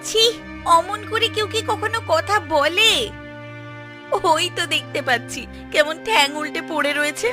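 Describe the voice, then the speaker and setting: A woman's voice: very high voice, normal speed, pauses often, music in the background. A woman voicing a fretting, scolding village housewife in a cartoon. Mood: indignant. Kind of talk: cartoon voice acting.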